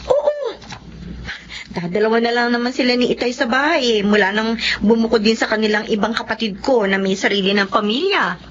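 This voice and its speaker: A woman's voice: fairly high pitch, fairly quick, a little hiss or hum, natural voice. A woman playing a determined Filipino working mother in her thirties in a radio drama. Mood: firm, insistent. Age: 30s. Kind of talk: radio drama acting and narration. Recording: muffled lo-fi audio under music.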